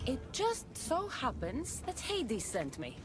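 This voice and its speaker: A woman's voice: sits high, wildly swooping pitch, slow pace, background noise, full character voice. An actress voicing a determined, wry mortal warrior woman of ancient Greece in a video game, speaking in a dry, resolute way. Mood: guarded.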